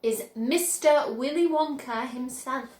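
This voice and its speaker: A woman's voice: high-pitched, takes it slowly, a little mellow. A woman voicing an emphatic, cautious old grandfather in a children's storybook. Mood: assured. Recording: roomy, echoing indoor sound.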